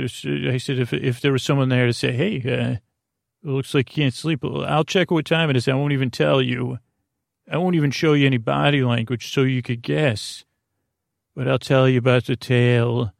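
Rambling, creaky-voiced man hosting a bedtime podcast. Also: medium pitch, no echo, studio quality. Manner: meandering, halting, with trailing tangents; soothing, reassuring. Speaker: podcast host. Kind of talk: rambling bedtime story monologue.

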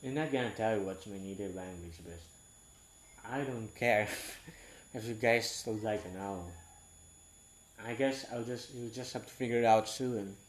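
An eighteen-year-old man from Southeast Asia, English not his first language, talking frankly in a rambling, halting casual monologue. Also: fairly low pitch.

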